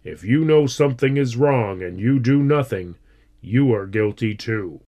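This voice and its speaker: Man acting a stern, slow-spoken policeman father. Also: mid-range voice, animated, dark tone, natural voice.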